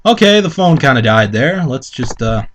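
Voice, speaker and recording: A young man with an average-pitched voice chats casually as a co-host. He jumps in with joking remarks, often repeats his phrases and swears freely. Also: big pitch swings, moderate pace, a bit bright, slight background noise. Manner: interjecting, repeating himself, profane. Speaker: co-host commentator.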